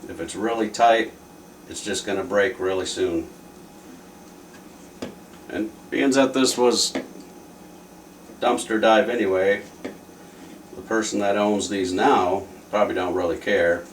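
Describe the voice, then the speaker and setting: Low-voiced man thinking aloud, distant roomy sound. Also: fairly even intonation, measured pace, long pauses. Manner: offhand, thinking aloud while working. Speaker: repair video presenter. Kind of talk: casual hands-on repair commentary. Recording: roomy, distant mic with faint noise.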